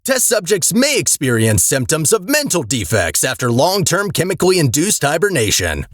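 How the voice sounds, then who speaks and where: A formal, detached automated announcer for a video game. The delivery is officious and deadpan, like scripted public-address instructions, at a middling pitch in a very dry recording. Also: moderate pace, dry recording. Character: calm formal automated facility announcer reading test instructions.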